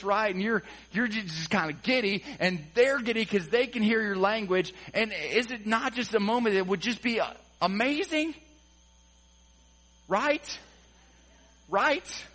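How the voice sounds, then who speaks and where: Male pastor, high tenor, casual and humorous pulpit delivery. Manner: conversational, acts out quotes with humor, rising excitement. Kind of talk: sermon.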